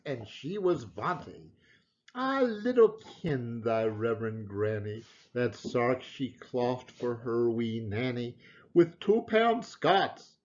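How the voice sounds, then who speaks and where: A man's voice: fairly high pitch, darkish tone. Dramatic man reciting poetry, widely swooping voice. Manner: dramatic, emphatic delivery with deliberate pauses. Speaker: poetry reader. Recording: dull lo-fi recording.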